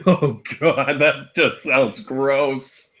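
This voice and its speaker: A man's voice: medium pitch, very slow. A man chatting casually as a guest co-commentator, reacting with short remarks. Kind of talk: casual chat.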